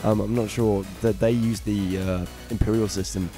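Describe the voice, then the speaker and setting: Hoarse man chatting games news. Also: on the low side, flat delivery, moderate pace, mellow, faint music behind. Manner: enthusiastic, chatty, hesitant fillers. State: raspy throat. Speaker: co-host of gaming news show. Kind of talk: casual news roundup chat.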